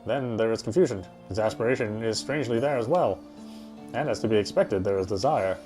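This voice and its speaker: Man narrating over loud music. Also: fairly low pitch, fairly quick. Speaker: gamer reading a visual novel aloud. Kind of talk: dramatic first-person narration read aloud.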